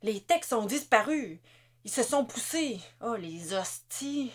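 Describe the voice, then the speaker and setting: Woman voicing a swearing male soldier, Québécois accent, sharp high yelps, audiobook. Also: lively intonation, slow. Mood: alarmed. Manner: cursing, yelling questions.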